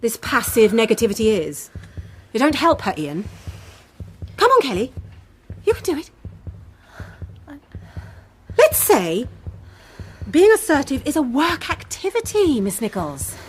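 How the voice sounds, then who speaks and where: An actress playing a bossy, patronising, falsely upbeat job-training course leader in a radio play, brisk and condescending. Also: female voice, a little high, huge pitch swings, a little hiss or hum. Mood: pushy. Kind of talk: naturalistic radio play acting.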